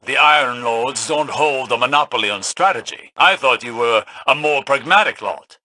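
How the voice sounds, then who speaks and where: A shrewd, older scholar-warrior for a video game. His mid-pitched voice is very bright and cutting, recorded dry. He chooses words formally and carefully, aloof and wry. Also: moderate pace, no echo. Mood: wry, teasing. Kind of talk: scripted video game dialogue.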